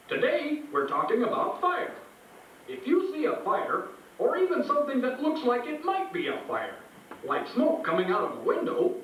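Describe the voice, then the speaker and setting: A man plays a friendly puppet host with a very high, wildly animated voice. The delivery is earnest and instructive, pitched at children in a scripted puppet show. It comes from a distance in a very echoey hall. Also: slight background noise, heavily acted.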